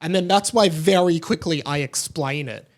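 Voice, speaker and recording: A man with an Australian accent and a voice pitched a little higher than most men's. He hosts a solo podcast in a loose, chatty style. His talk rambles, doubling back on itself and wandering off on side notes. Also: normal speed. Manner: rambling, tangent-prone, self-interrupting. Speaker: podcast host. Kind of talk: casual solo podcast chat.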